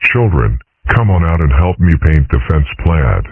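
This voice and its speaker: A man voicing an unflappable, mischievous dragon father in a comic dub, husky, blunt and nonchalant. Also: very deep voice, very animated, moderate pace, exaggerated voice. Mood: cheerfully casual. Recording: muffled narrow-band lo-fi audio.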